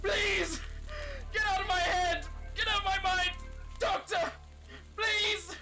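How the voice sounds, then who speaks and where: A man's voice: extremely high pitch, fairly even intonation, slow pace, loud music behind the voice. A man playing a tormented victim in an audio drama, crying out in pain as his mind is invaded. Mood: desperate agony.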